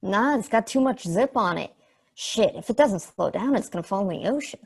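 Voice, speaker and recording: A woman's voice: medium pitch, animated, moderate pace, vocal fry, slightly rough. A woman playing a slangy, irreverent space probe in a stage play table read. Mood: casual. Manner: folksy, sweary slang.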